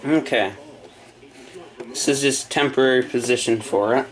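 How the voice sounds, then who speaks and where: A man casually narrating an unboxing and setup in halting, short muttered phrases. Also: medium pitch, rough recording. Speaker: hobbyist video maker.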